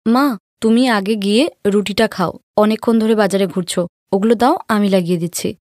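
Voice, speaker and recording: Woman playing young cartoon seamstress, polite, helpful, mid pitch, dry sound. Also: fairly quick, a little mellow, dry recording, lightly acted. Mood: caring. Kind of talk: Bengali animated moral story acting.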